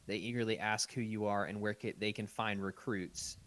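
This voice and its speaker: Deep-ish guy reading out a text adventure game, chatting casually, podcast host. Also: steady tone, moderate pace, fairly bright tone.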